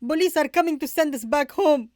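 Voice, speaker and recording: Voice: high voice, fairly quick, darkish tone, no echo, clean studio sound, lightly acted. A performer voicing a stubborn, naive puppet man with a Somali accent, blunt and insistent, in a comedy puppet show. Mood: worried, pleading.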